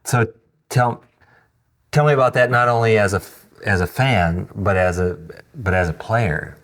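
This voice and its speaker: A man in his early fifties hosting a casual interview, informal and blunt, with halting phrasing. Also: fairly low pitch, a bit bright. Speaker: podcast host.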